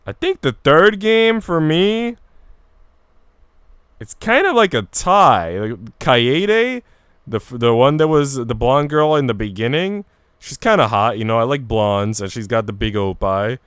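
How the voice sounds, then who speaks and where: A man's voice: medium pitch, big pitch swings, a little slow, a bit bright, clean tone, dry recording, studio quality. A man livestreaming casually, talking to his viewers in informal, slangy language. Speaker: game streamer. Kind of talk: casual livestream chat, reading visual novel dialogue aloud.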